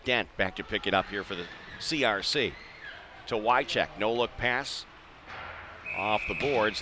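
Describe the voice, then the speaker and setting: A man calling live ice hockey play-by-play in clipped phrases, excitable when the action heats up. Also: lowish voice. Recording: live arena broadcast with background noise.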